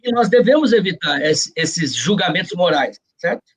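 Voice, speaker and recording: A man's voice: high voice, big pitch swings, moderate pace, slightly rough. A man with a Brazilian Portuguese accent, speaking earnestly and explaining his views in a reflective interview. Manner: earnest, explanatory, often seeking agreement with short tags. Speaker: interview guest, journalist and author.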